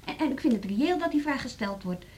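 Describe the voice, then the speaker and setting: A reasonable music-school leader in a radio play. Her voice is fairly high, and she argues earnestly and persuasively, keeping a measured, conciliatory manner. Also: lively intonation, a little mellow, slight background noise. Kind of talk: radio drama acting.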